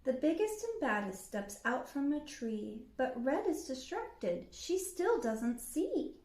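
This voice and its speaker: A woman's voice: high-pitched, sing-song, slow, a little mellow, roomy sound. A woman hosting storytime, reading a rhyming children's story aloud. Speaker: storytime host.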